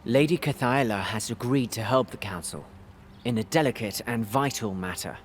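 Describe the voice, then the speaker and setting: An actor playing a discreet, courteous adventurer in a fantasy video game, speaking formally and carefully. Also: male voice, mid-range voice, moderate pace, slight background noise, close to an everyday voice. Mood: guarded, polite.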